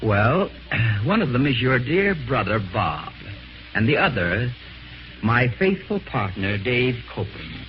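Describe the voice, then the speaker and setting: A slyly sardonic radio-drama schemer. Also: male voice, mid-range voice, very animated. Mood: mocking, menacing. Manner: sarcastic, falsely genial, deliberate. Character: scheming, sardonic husband, smoothly insinuating, slyly menacing. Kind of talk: old-time American radio mystery acting. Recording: muffled vintage radio, music behind.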